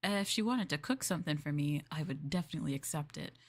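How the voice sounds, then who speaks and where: Soft-spoken young woman, giggly maid chatter. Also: deep voice, lively intonation, quick pace. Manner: rambling and giggly, with many hesitant fillers; playful, addressing the listener as master. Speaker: VTuber in a maid roleplay. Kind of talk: soft casual ASMR roleplay chat.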